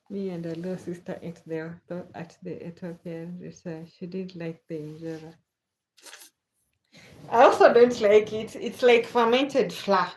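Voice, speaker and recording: Slow-talking Kenyan woman. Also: lowish voice, a little mellow, low-quality recording. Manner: unhurried, chatty, laughs often. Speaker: livestream host. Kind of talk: casual chat reading viewer comments.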